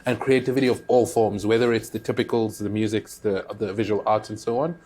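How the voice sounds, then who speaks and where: Relaxed South African man hosting. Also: on the low side, brisk, a little hiss or hum. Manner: relaxed, musing, frequent agreeing backchannel. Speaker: podcast host. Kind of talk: conversational interview. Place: conference.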